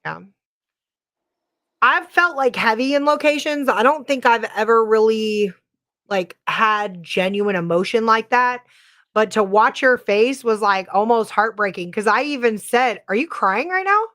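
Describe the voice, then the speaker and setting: A middle-aged woman hosts a casual podcast conversation. Her voice sits a little high, and she talks in an animated, rambling way full of "like.". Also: measured pace, no echo, studio quality. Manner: chatty and animated, many "like" fillers. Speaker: podcast host. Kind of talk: casual paranormal chat.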